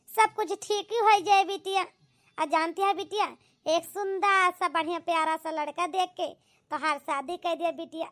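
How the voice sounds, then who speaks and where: A woman voicing a doting, gentle village mother in a homemade cartoon, with an Awadhi accent and a pitched-up, coaxing delivery. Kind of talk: homemade cartoon comedy-drama.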